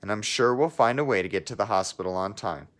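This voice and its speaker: A man voicing a calm, practical expectant mother in a children's storybook read-aloud. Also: on the low side, quick pace, close to an everyday voice. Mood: reassuring. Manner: reassuring, practical. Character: calm, reassuring expectant mother, adult woman.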